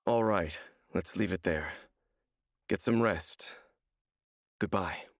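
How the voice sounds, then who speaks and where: A man playing a grave, secretive, world-weary wanderer in a video game, with a gravelly, husky voice and solemn, cryptic manner. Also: low-pitched, slow pace, no echo, clean studio sound. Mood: guarded, resolute.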